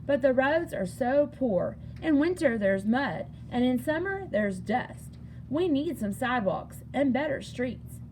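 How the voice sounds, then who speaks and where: A woman voicing a bright, confident frontier girl in a storybook, full of eager advice. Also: high-pitched, big pitch swings, unhurried, a little hiss or hum, full character voice, rough recording. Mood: practical. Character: bright, enterprising frontier girl who bakes pies. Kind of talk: storybook character dialogue.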